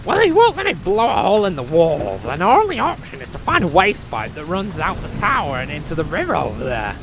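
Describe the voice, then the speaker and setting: A man's voice: sits high, huge pitch swings, fast, noisy background, full character voice. A man playing a crude, cranky gnome potion-maker in a comic fantasy audio drama, foul-mouthed and bickering. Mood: grumbling. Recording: rain and thunder sound effects behind.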